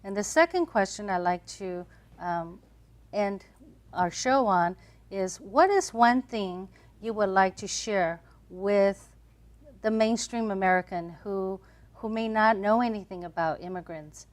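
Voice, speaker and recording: A woman's voice: medium pitch, measured pace, no echo. A woman hosting a panel talk show, asking questions and closing the episode. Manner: warm affirming listener, many soft mm-hmms.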